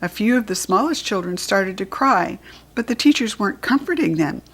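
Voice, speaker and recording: A woman reads a children's fairy story aloud in a clear, expressive way. Her voice sits at an ordinary middle pitch. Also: normal speed. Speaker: storybook reader.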